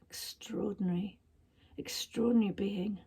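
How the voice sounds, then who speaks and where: A woman's voice: mid-range voice, fairly even intonation, measured pace, gravelly, warm tone, breathy edge, full character voice. A woman voicing a young girl in a storybook, earnest and tender, coaxing in a whispery way. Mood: awed.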